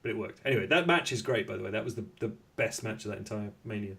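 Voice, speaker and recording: A man's voice: on the low side, racing, creaky, fairly bright tone. A man hosts a casual podcast about wrestling. He talks in a rambling, joking manner, breaking off into hesitant little asides. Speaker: podcast host.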